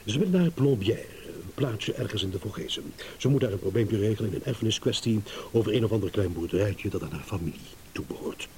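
An actor playing a smug, shrewd, eloquent gentleman in a radio drama, with mock courtesy. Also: lowish voice, lively intonation, fast. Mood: measured storytelling. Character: smug, shrewd gentleman savouring a battle of wits. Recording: hissy old radio play recording.

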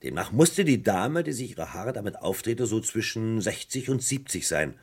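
An actor playing a wry, probing British police detective in a German radio crime play, talking casually with a gravelly, husky voice. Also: male voice, fairly low pitch, very animated, moderate pace. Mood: reflective, reporting. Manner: casual, probing, conversational questioning. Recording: vintage radio play with faint music.

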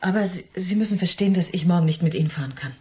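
An actress playing an excitable, easily outraged woman tourist in a German radio drama. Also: fairly low pitch, rapid-fire, natural voice. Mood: apologetic.

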